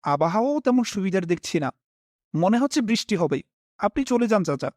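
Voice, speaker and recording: Casting: young man, high lively voice, polite first-person narrator for dramatised animated horror. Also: normal speed, no room sound, studio quality.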